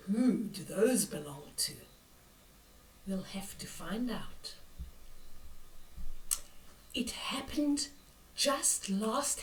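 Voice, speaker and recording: An older woman reading a picture book aloud to children, expressive and dramatic, with hushed whispers and exclamations as she comments on the pictures. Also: medium pitch. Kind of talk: bedtime read-aloud with asides on the pictures. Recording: roomy echo, distant from the mic.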